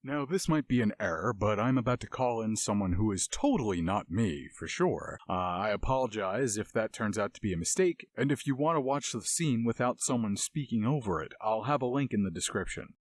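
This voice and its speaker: A man's voice: fairly low pitch, lively intonation, a little fast, few pauses, no echo. A man hosting a video essay with casual analytical commentary, dramatic emphasis and colloquial asides.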